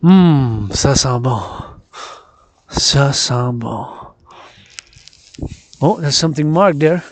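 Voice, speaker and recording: A man's voice: medium pitch, animated, very slow, no room sound. A man with a Quebec French accent vlogging on a mountain path outdoors, declaiming and repeating phrases in English and French. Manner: declamatory and theatrical, repeats phrases in both languages. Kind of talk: walking vlog, mixing English and French.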